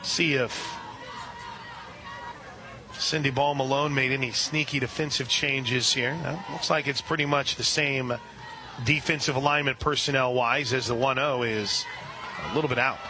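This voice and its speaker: A man doing live radio play-by-play for a softball game, chatting between pitches and shouting on big plays. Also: steady tone, moderate pace, a crowd in the background, noisy background. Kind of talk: live radio sports commentary.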